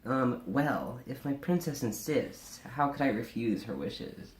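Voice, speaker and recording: A man reads fan fiction aloud in a casual, halting way, slipping between narration and chat. His pitch is average for a man, and the room around him sounds noticeably echoey. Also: animated. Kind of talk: informal live story reading and casual chat.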